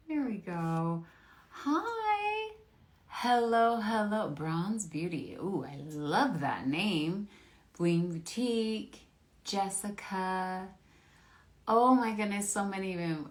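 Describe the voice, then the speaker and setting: A woman's voice: medium pitch, vocal fry. A woman hosting a casual livestream on a video call, bubbly and chatty and laughing often. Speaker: livestream host. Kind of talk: casual chat.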